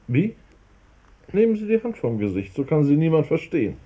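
A pedantic, exasperated doctor in a radio drama. The voice is fairly high and slightly husky, leaping around in pitch as he scolds and orders. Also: sing-song, moderate pace, mellow. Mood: impatient. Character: exasperated pedantic male doctor, quickly indignant. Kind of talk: German radio play acting.

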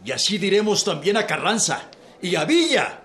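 An actor playing the orator who opens a revolutionary convention, speaking in a slow, declamatory way in a radio drama. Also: male voice, high voice, very animated, a bit bright. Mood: rousing.

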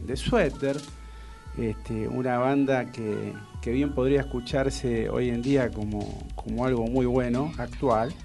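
A man with an Argentine accent hosting an informal radio interview, halting and digressive as he talks. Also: mid-range voice, vocal fry, darkish tone. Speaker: radio host. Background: faint music.

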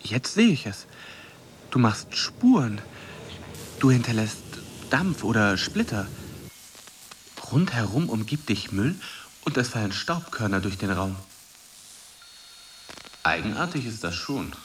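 Dreamy searching boyfriend in a radio play, pitch moves around a lot, faint noise behind. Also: male voice, sing-song, slow pace, long pauses. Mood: alert, puzzled. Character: Steve, dreamy searching boyfriend, distant and puzzled. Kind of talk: poetic radio drama dialogue.

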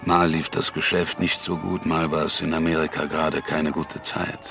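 A world-weary American man in a radio play. His voice is extremely low and gravelly. He speaks in a laconic, halting, confiding way, with music clearly behind him. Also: little pitch movement. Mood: resigned. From New York, United States. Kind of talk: intimate radio drama acting.

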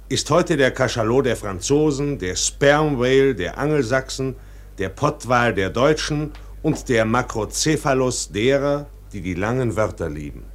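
A man's voice: medium pitch, close to an everyday voice. Male actor, pedantic learned lecturer, radio play, precise declamation, pompous, very varied melody. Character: learned, pedantic male lecturer reading a grand whale treatise. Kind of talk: mock-scholarly radio play recitation.

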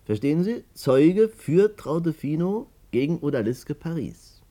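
A man's voice: on the low side, dark tone. A man acting a wry narrator in a 1950s radio drama, confiding a long saga to his listeners in theatrical fashion. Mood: dramatic, ominous.

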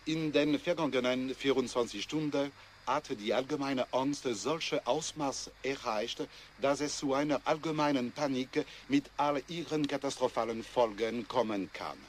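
A man's voice: medium pitch, close to an everyday voice. Actor as grave male commentator, faint noise. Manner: measured, grave. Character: sober, worried male news commentator. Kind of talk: news commentary in a radio play.

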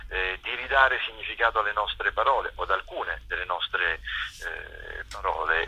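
A man giving explanatory interview answers as a theatre director, hesitant and searching for words, with a husky voice. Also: on the low side, pushed and bright, rough recording. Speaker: theatre director and author interviewed about a play.

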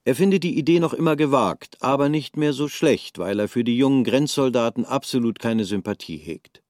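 A man narrating a radio drama in a measured storytelling manner. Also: mid-range voice, a little mellow, no room sound, studio quality.

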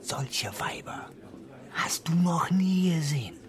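An actor playing a cagey, casual bar pianist in a radio play, evasive and given to conspiratorial hushed tones. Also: male voice, a little high, slow. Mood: hushed, relishing. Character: secretive, cagey bar pianist, an old acquaintance.

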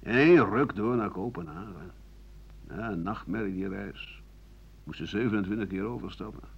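An actor playing a bitter, aging exiled writer-doctor in a radio drama monologue, sardonic and halting, often sinking to a husky mutter. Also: male voice, fairly low pitch, slight background noise. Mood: bitter, weary. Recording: muffled 1980 radio broadcast.

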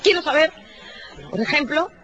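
An actress playing a distraught, indignant innkeeper with a dramatic, demanding manner in a radio drama. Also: female voice, high voice, animated, moderate pace, a bit bright, dull audio, slight background noise.

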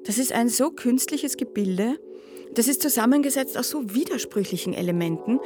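A woman's voice: fairly high pitch, expressive, moderate pace, music in the background, lightly acted. An actress playing an eloquent, ironic woman lecturer in a radio play, pointed and full of rhetorical turns. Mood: ironic analysis. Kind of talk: radio play monologue, essayistic and satirical.

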